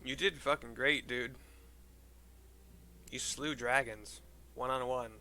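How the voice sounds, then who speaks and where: Gravelly man narrating his gameplay. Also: mid-range voice, steady tone, slow, pushed and bright. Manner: informal, rambling, swears. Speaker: game streamer reading quest dialogue aloud. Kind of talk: casual gameplay commentary and narration. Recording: game music behind voice.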